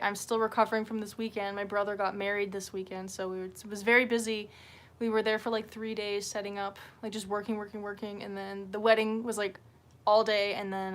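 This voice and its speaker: A woman hosting a casual livestream, rambling informally and self-deprecatingly, swearing freely as she talks. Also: medium pitch. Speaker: livestream host. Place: indoors at home, window open. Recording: noisy room with open window.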